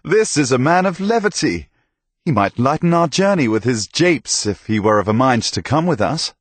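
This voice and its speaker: Man voicing a hearty medieval knight, booming, lively swooping voice, raised higher, dry close sound. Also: normal speed, no echo. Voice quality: booming, laughing barks. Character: boisterous hearty older male knight, jovial and commanding. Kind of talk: audiobook character voice.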